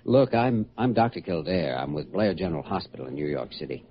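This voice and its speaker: An American man acting an earnest, considerate doctor in a classic radio drama, questioning gently and a little hesitantly. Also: deep voice, very animated, a little fast. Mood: concerned. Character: earnest, considerate young male hospital doctor. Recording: muffled, noisy old radio recording.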